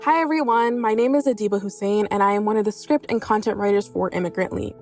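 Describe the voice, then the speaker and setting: A woman's voice: mid-range voice, fast talker, a little mellow, faint music behind. A young woman from New York, a content writer, reading an earnest personal reflection. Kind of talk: personal reflection read aloud.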